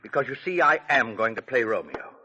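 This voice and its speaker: An actor in 1940s radio drama playing a proud, eager has-been actor husband with a theatrical, stammering delivery. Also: male voice, mid-range voice, lively intonation, a little fast, sharp tone, husky, heavily acted. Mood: wounded, bitter. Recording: noisy crackling 1940s radio recording.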